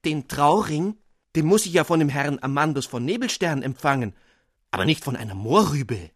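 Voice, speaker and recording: A man's voice: a little high, lively intonation, normal speed, a bit bright, dry recording, clean studio sound, voice pushed up. A man voicing a naive young noblewoman. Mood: indignant.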